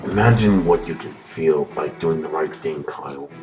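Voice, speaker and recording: A man playing a cold, preachy killer who speaks through a puppet, slow, solemn and taunting in a horror audio drama. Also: extremely low pitch, far from the mic, over music.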